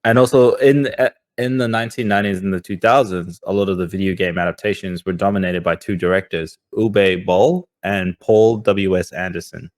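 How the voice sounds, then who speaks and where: Low-voiced young man chatting casually. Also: fairly even intonation, moderate pace, hardly any pauses. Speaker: podcast host. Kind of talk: casual podcast chat.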